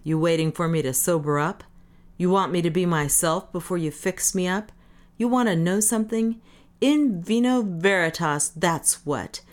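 Woman acting a gruff drunk man, brash, slangy, sardonic, defiant, low voice, storybook reading. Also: normal speed, close to an everyday voice. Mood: drunken bravado. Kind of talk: dramatic audiobook character reading.

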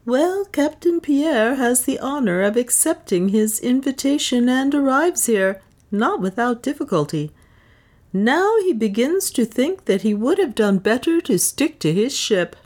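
A woman's voice: on the high side, sing-song, unhurried, darkish tone, voice pushed up. A woman reading aloud and voicing a cool, bold Englishman who speaks with polite courtesy. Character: adult male English adventurer, cool and bold.

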